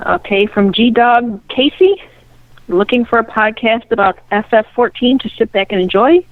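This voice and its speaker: A woman's voice: a little high, moderate pace, no room sound. A middle-aged woman guesting on a podcast, reading comments aloud and chatting casually over dull, radio-like audio. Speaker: guest reader, a host's mother.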